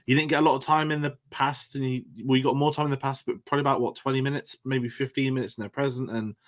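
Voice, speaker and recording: A man's voice: medium pitch, level tone, quick pace. A young man co-hosting a casual chat, chatty and upbeat with rambling asides. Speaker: co-host.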